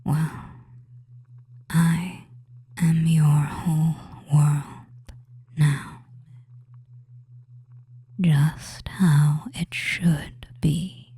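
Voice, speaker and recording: A possessive, doting witch, acted out by a performer in a hypnosis audio roleplay. The voice is a soft, breathy whisper, low and mellow. It moves very slowly, lulling and controlling, with deliberate pauses. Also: flat delivery, exaggerated voice.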